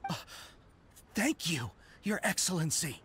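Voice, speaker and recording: A man's voice: on the high side, sing-song, measured pace, a bit bright, slight background noise. A man playing an earnest, zealous young soldier in an anime game, deferential and impassioned toward a commander. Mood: startled, grateful.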